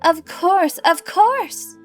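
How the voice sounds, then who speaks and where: A woman's voice: very high voice, expressive, extremely slow, soft background music, pitched above the performer's own voice, heavily acted. A woman voicing a theatrical young female celebrity actress with a grand, teasing manner, in a game story. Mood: breezy, excited.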